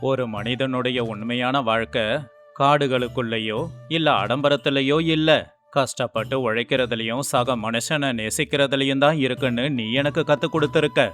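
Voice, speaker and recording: A man playing a humble, wise king in an animated folk tale, speaking formally. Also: medium pitch, moderate pace, close to an everyday voice. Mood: grateful, earnest. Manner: solemn, moralising, formal. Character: humble, righteous male king, earnest and wise. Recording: music behind the voice.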